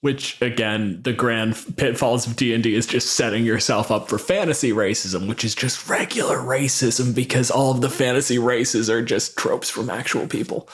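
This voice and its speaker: A man's voice: mid-range voice, normal speed, hardly any pauses. A man co-hosting a podcast, wry and wordy in his delivery. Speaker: podcast co-host. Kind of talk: scripted intro and wry podcast discussion.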